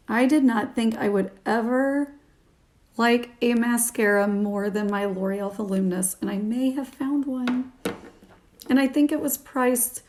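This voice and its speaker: A woman in her thirties with a slightly high voice that has some morning rasp in it. She talks informally, as in a home beauty review, chatting along while busy with her hands. It is recorded on a phone at arm's length in a small room. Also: a little mellow. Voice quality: raspy morning voice. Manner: chatty, informal, talking while applying makeup. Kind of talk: casual product review to camera.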